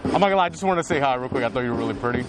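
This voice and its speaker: A young man talks to strangers on a city street. He hesitates, restarts and fills his speech with likes and ums. His voice sits at a normal pitch, and loud music plays behind him. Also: expressive, very fast, low-quality recording. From New York. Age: young adult. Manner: hesitant, filler-heavy, nervous laughs. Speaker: subscriber approaching strangers. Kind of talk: casual street chat. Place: city street and park, outdoors.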